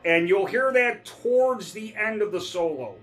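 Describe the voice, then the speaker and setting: A middle-aged man giving a relaxed, casual guitar lesson, often checking in with "all right?" and "okay?". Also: sits high, measured pace. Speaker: guitar teacher. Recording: faint guitar playing between phrases.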